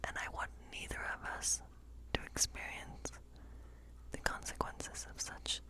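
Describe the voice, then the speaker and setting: Woman doing soft asmr witch roleplay, all breathy whisper, whimsical and musing, takes her time. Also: a little slow, low-quality recording. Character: friendly whimsical woodland witch reading tarot. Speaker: ASMR performer playing a witch tarot reader. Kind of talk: whispered ASMR role play.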